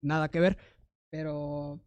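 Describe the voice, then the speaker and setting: A young adult with a Mexican accent talks in a loose, slangy way, full of fillers and restarts. The pitch is medium and the recording is dry and close. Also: level tone, slow, a little mellow, no room sound. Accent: Mexican Spanish. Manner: slangy and rambling, filler words, frequent restarts. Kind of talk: casual chat.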